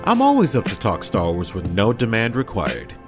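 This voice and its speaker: Animated low-voiced man over music. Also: moderate pace. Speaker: voice actor. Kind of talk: scripted personal message to listeners. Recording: music behind the voice.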